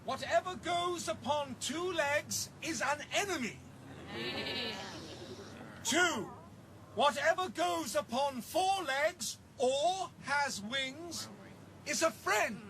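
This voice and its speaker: An actor playing a zealous revolutionary pig in a British radio drama, declaiming and rallying with a British accent. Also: male voice, very high-pitched, sharp tone, a little hiss or hum, exaggerated voice. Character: zealous male farm pig, a fiery revolutionary orator.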